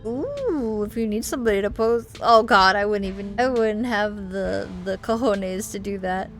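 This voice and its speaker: Expressive woman narrating a game over music. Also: mid-range voice, moderate pace. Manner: expressive, reacting aloud in exclamations. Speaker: gaming video host. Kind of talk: let's play commentary and reading aloud of a visual novel.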